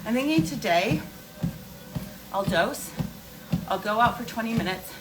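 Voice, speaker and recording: A woman's voice: a little high, lively intonation, unhurried, loud music behind the voice, poor audio. A woman hosting a casual skincare livestream, answering viewer questions and explaining emphatically, repeating key points with rhetorical questions. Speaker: skincare and wellness livestream host.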